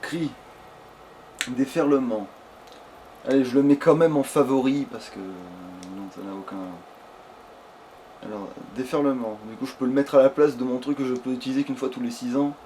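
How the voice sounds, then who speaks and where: A man's voice: mid-range voice, moderate pace, pauses often, rough recording. A man giving casual, profanity-heavy game commentary while chatting with a friend. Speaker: let's play gamer. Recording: clear steady background noise.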